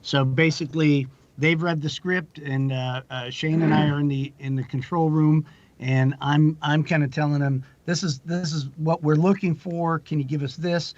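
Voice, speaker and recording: A man with a slightly high voice chats casually as an interview guest. He explains his production work in a rambling, anecdotal way. The audio is dry and a little dull. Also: steady tone, moderate pace, a little mellow, dry recording.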